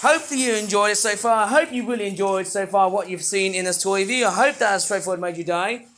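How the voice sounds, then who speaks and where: A man reviewing toys in a rambling, playful vlog, his voice not fully well and breaking into sudden excited outbursts. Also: sits high, fast talker, a little hiss or hum. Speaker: toy reviewer. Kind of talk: casual toy review vlog.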